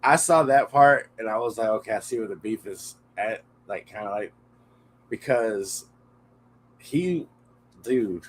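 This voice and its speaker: A man's voice: on the low side, measured pace, pauses often, a little hiss or hum, low-quality recording. A man co-hosting a podcast, chatting casually with blunt, slangy reactions. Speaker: podcast co-host. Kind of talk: casual chat.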